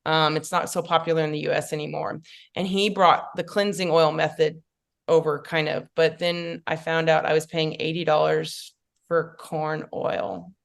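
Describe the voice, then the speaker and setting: Chatty woman, lowish voice. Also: little pitch movement, moderate pace, vocal fry. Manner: chatty, lots of fillers and asides. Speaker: makeup artist guest. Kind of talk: casual podcast interview.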